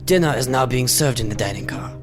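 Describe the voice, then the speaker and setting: A man's voice: mid-range voice, expressive, quick pace, music in the background, lightly acted. A man playing a courteous train steward. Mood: polite. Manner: formal, courteous. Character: formal male dining-car steward, polite.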